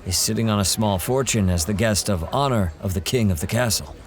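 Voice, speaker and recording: An actor playing a wry, self-assured monster hunter in an audio drama, calm and measured. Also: male voice, fairly low pitch, natural voice.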